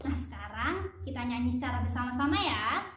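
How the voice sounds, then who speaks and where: A woman teaching a primary school class, talking slowly and clearly like a teacher. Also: on the high side, lively intonation, a bit bright, a little hiss or hum. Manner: slow, clear teacherly talk, sings children's song and solfege. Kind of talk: online class lesson with singing. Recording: echoey room, dull lo-fi sound.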